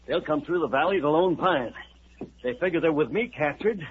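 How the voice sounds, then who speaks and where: A man's voice: fairly high pitch, normal speed, close to an everyday voice. A man playing a scheming outlaw spy. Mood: urgent. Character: sly male outlaw spy, eager and scheming. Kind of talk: 1950s radio drama acting. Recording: tinny 1950s radio recording with hiss.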